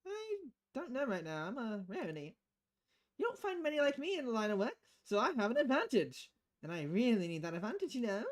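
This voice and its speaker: Woman doing a chirpy robot girl for a game, high n bouncy, cheeky, chatty. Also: very animated, fairly quick. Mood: frank, chatty.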